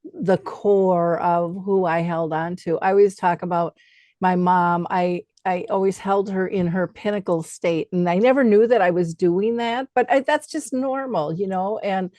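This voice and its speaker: A middle-aged woman hosting a conversation, reflecting on personal memories in an informal, animated way. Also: lowish voice, a little slow, no room sound. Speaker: talk show host.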